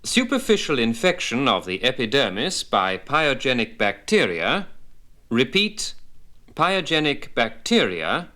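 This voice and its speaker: A man narrating a scripted vocabulary lesson as a medical English instructor, setting terms apart with deliberate, didactic enunciation. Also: mid-range voice, huge pitch swings, bright, forceful tone.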